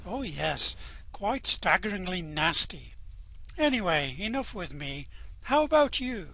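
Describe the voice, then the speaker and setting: A man's voice: high voice, big pitch swings, unhurried, sharp tone, full character voice. A man voicing a cheerfully evil male alien prison official with a smarmy, falsely polite way of talking, in an amateur radio play reading.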